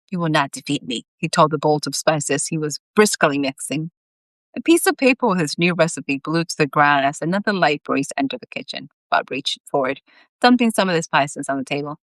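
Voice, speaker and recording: A woman's voice: low voice, no echo. A woman reading her own story aloud in a live, informal storytime style with chatty asides. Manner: animated, informal.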